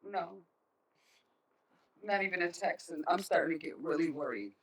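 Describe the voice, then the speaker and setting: A woman playing a worried, guilt-ridden mother in a stage play, pleading and halting. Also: fairly low pitch, husky, poor audio. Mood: guilty, anxious.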